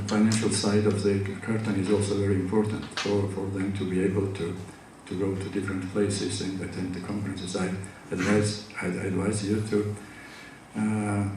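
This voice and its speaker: A man with a Georgian accent delivers an academic talk, his speech halting and full of fillers and restarts. His voice sits on the low side. He is heard from a distance in a large hall with heavy echo and a faint hum of ambience. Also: darkish tone. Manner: hesitant, many fillers and restarts. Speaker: conference speaker. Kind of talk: academic lecture. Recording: echoey hall from far off.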